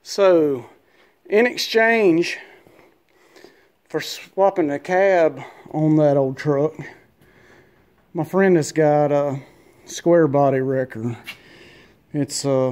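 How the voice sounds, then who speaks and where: A man with a drawl talks in a loose, rambling way, full of ums and uhs. His voice is warm and a bit higher than most men's. He is recorded on a handheld phone as he moves through a garage. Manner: easygoing, rambling, many fillers. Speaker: vlogger and mechanic. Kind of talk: casual walkaround narration. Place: garage and wooded yard.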